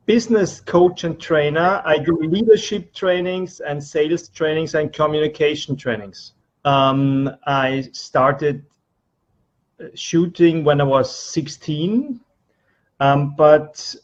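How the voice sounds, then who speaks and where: A man from Austria with an Austrian accent answering interview questions hesitantly, repeating words as he searches for the next. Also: on the high side. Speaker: trainee being interviewed, business coach. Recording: dull, lo-fi sound.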